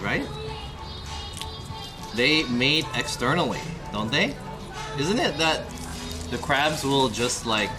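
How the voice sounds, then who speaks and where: A man in his thirties chatting casually to viewers on a live stream while eating alone in a restaurant, rambling, swearing and often asking his audience questions. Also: mid-range voice. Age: 30s. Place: restaurant, indoors. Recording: music playing behind the voice.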